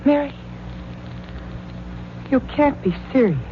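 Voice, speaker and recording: A woman's voice: sits high, expressive, slow pace. A woman playing a coy, cautious sweetheart in 1940s radio drama style. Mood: coy, hesitant. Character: coy, cautious younger sweetheart of a married judge. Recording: dull, noisy old radio recording.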